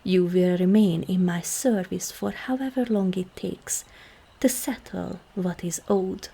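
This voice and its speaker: A woman playing a seductive, regal demon queen in an ASMR roleplay, calm and commanding, with slow, formal speech that often drops into breathy whispers. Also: fairly low pitch, full character voice. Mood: measured, enticing. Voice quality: breathy, husky.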